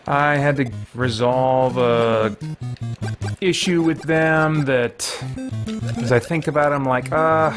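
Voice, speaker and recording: Retro video game music plays clearly behind a middle-aged man with a medium-pitched voice. He hosts in a casual, self-deprecating way, rambling through his gameplay and thinking out loud. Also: slow. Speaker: host of a video series.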